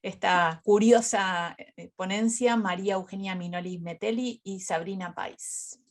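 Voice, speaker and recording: A woman's voice: medium pitch, steady tone, measured pace. Female conference moderator, mid-range voice, informal linking remarks on a video call. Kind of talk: informal moderating between presentations.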